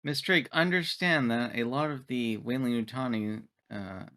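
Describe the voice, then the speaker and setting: A man's voice: mid-range voice, measured pace, pushed and bright, dry recording. A man playing a formal, literal-minded android crew member in a tabletop game, speaking in precise, clinical terms. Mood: clinical, explanatory.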